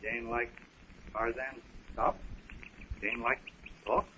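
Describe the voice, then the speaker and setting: An actor playing a wild but tender ape man in a 1930s radio style, speaking simple, halting broken English. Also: mid-range voice, flat delivery, bright, forceful tone. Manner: halting, terse pidgin speech. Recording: muffled, noisy 1930s radio recording.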